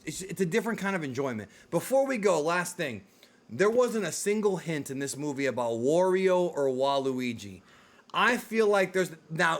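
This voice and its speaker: A man hosting a casual discussion of films and games, talking in a blunt, informal way that runs to swearing. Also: on the high side. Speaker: video show host. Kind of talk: casual film and game discussion.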